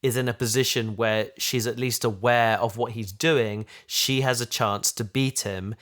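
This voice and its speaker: Male podcast host, mid-pitched voice, conversational, thinks aloud with broken-off starts. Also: fairly even intonation, a little slow, fairly bright tone. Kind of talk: casual discussion of an audio drama.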